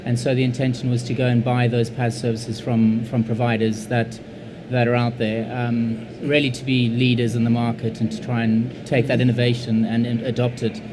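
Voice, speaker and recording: A man answering questions on a conference panel, speaking in a measured, hesitant way with many fillers and repeated words. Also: medium pitch, level tone, noisy background. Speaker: panelist, insurance IT leader.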